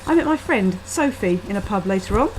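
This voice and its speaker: A woman's voice: medium pitch, lively intonation, fairly quick, a little mellow, slight background noise, poor audio. A woman reading her own first-person short story aloud into a laptop webcam microphone.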